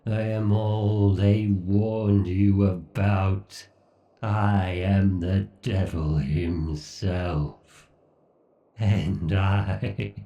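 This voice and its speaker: A man playing an obsessive, sadistic AI god in a horror ASMR roleplay, with theatrical, menacing and condescending delivery in drawn-out, deliberate phrases. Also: deep voice, warm tone, roomy sound, distant mic, heavily acted. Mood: gloating. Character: obsessive, sadistic male AI god villain, gloating and hateful.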